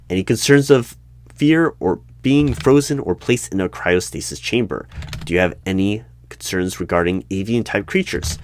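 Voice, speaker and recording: Deep male voice, stern deadpan interviewer, ASMR roleplay, sudden loud bursts and whispers. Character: stern male hiring manager of a secret bureau.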